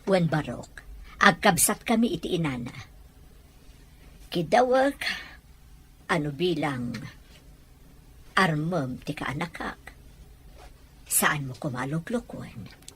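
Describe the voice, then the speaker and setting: A woman's voice: low voice, lively intonation, slow, pauses often, fairly bright tone, breathy edge, heavily acted. A woman acting a protective, motherly older aunt who probes and worries, in a radio drama with an Ilocano accent. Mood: probing, protective.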